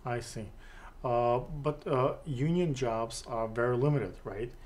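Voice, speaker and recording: A middle-aged man with a Russian accent hosting a studio interview, asking questions haltingly with many fillers and restarts. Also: mid-range voice, darkish tone. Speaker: interview host. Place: studio with city backdrop, seated on sofa.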